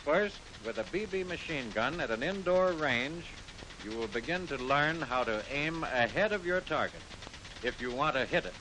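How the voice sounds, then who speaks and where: A man's voice: a little high, lively intonation, normal speed. An American man reading scripted narration for a 1940s instructional film, speaking directly to trainees. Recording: noisy 1940s film soundtrack.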